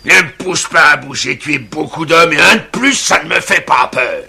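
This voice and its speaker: A man voicing a boastful, hostile rival hunting chief in a cartoon, speaking in a threatening, declamatory way with a gravelly rasp. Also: fairly high pitch, normal speed, sharp tone, heavily acted. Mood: menacing. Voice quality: gravelly, raspy. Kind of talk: animated cartoon acting.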